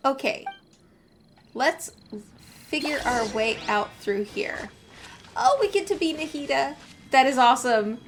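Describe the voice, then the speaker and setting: A woman's voice: sits high, lively intonation, a little slow, pauses often, slight background noise. A woman chatting casually and enthusiastically as she comments on a game during a live stream. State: getting over a cold.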